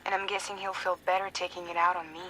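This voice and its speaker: An actress playing a calm courier in a video game cutscene, speaking in a steady, matter-of-fact way. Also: female voice, on the low side, fast, noisy background, lightly acted.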